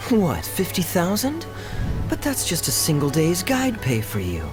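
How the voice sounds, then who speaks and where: An actor playing a carefree, condescending rich gambler in a video game, with a nonchalant, teasing manner. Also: male voice, high-pitched, wildly swooping pitch, a little slow, music in the background, full character voice. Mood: goading. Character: smug, carefree rich male gambler, breezily taunting.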